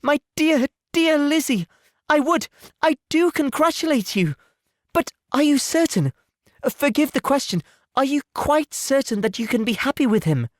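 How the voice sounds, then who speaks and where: A man voicing a sweet, earnest young gentlewoman in an audiobook, exclamatory, affectionate and anxious. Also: extremely high pitch, lively intonation, normal speed, no echo, studio quality, pitched above the performer's own voice. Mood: amazed, affectionate. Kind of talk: audiobook character voice.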